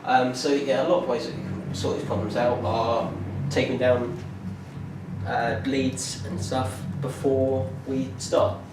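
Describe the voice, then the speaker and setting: A young man giving an informal class presentation, talking in a halting way with many fillers and restarts. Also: mid-range voice, level tone. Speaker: music student presenting a performance plan. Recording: echoey room from far off, noisy.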